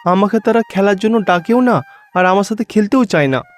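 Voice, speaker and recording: A man's voice: high-pitched, lively intonation, brisk, voice pushed up. Man voicing an earnest, pious cartoon schoolboy. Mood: downcast. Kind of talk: cartoon story dialogue.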